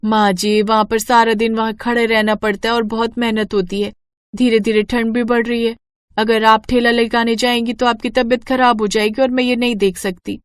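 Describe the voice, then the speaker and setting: A woman's voice: fairly high pitch, little pitch movement, normal speed, smooth, dry recording, studio quality, lightly acted. A woman voicing a young, dutiful, hardworking daughter-in-law who sells street food in a moral story. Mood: dutiful, concerned.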